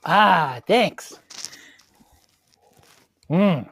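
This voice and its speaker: Lower-pitched woman, hesitant tabletop player. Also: expressive, drawn-out words, creaky, fairly bright tone, slightly rough. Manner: hesitant, rambling. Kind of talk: casual in-character improvised chat.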